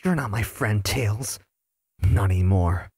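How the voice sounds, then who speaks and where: A man's voice: fairly low pitch, unhurried, darkish tone, slightly rough, no echo, studio quality. A man voicing a young male hedgehog hero who feels betrayed by his friends and speaks coldly and resentfully. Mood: bitter. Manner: cold, resentful.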